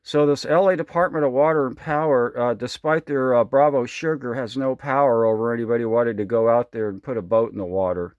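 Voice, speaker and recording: A man's voice: medium pitch, fairly even intonation, brisk, few pauses, dry recording, phone-quality sound. Rambling American man on ham radio, close and dry. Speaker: amateur radio operator. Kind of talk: casual ham radio chat. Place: indoors at a home radio station.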